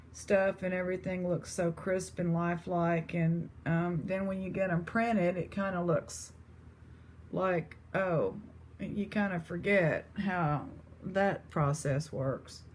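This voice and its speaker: A woman's voice: lowish voice, darkish tone, slight background noise. A woman with an East Texas accent chatting casually to her viewers as an online seller. She rambles, with lots of fillers and restarts. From East Texas, USA.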